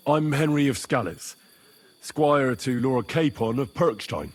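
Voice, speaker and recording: An actor playing an earnest, determined young squire in a medieval video game, polite and plain-spoken. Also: male voice, medium pitch, animated, measured pace. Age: young adult. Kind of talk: video game cutscene acting.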